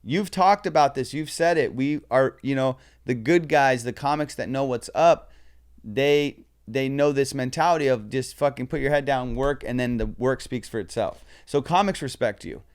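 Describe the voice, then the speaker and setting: A man, a comedian guest on a podcast, chatting casually with lots of swearing and animated storytelling. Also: mid-range voice, normal speed, smooth. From Southern California.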